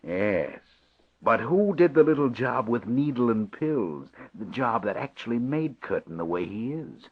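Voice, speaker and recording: An actor playing a coolly smug, corrupt doctor in an old-time radio drama, speaking in a sardonic, deliberate way. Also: male voice, medium pitch, very animated, slightly rough, full character voice. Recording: muffled old radio recording.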